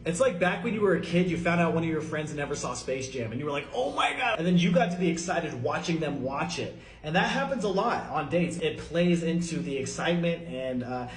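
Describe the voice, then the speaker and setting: A man's voice: fairly high pitch, expressive, a little fast, hardly any pauses. A man from the United States giving a scripted, upbeat monologue to camera as a vlogger, listing his points. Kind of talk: scripted social media monologue. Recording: clip played with music under it.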